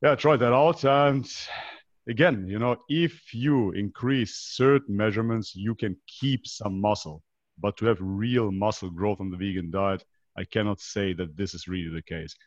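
A man's voice: on the low side, normal speed, no room sound. A man of about thirty with a foreign European accent, explaining his own experience as a podcast guest and often checking in with a rhetorical question. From North Macedonia, raised in Germany. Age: around 30. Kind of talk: conversational interview, personal account. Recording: dull, band-limited online call.

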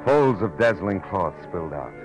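A man's voice: low voice, big pitch swings, normal speed, poor audio. An actor playing a wry, world-weary private detective, terse and sardonic, telling his case in a 1940s radio drama. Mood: grim narration. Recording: vintage radio drama with music.

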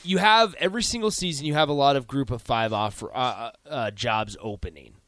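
Male sports radio co-host, mid-pitched, halting analysis with repeated words, close dry sound. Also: a bit bright, no echo. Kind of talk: sports talk discussion.

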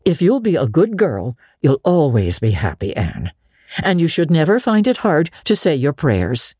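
A woman voicing a stern, practical older spinster in a storybook, brisk and curt as she moralises. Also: low voice, sing-song, dark tone, breathy edge, no room sound, studio quality, exaggerated voice. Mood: stern, weary.

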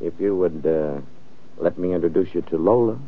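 An actor playing a hard-boiled, sardonic police lieutenant in an old-time radio crime drama. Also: male voice, deep voice, normal speed. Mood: sardonic, matter-of-fact. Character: wisecracking, world-weary police lieutenant, a man. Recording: muffled, narrow-band old radio recording.

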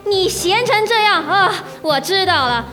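Woman playing a sly blind old landlady, high thin voice, slow drawn-out talk, radio play. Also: animated, faint music behind, heavily acted. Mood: indignant. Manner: shifts from frail chatter to sharp indignation. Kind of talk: amateur radio drama acting.

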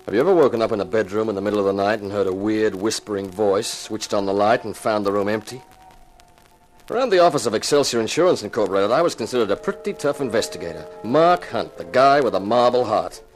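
An actor playing a tough, wry insurance investigator, narrating in 1950s radio detective style. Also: male voice, fairly low pitch, fast talker, soft background music, natural voice, rough recording. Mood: brooding, wry. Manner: wry, laconic, dramatic storytelling. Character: tough hard-boiled male insurance investigator.

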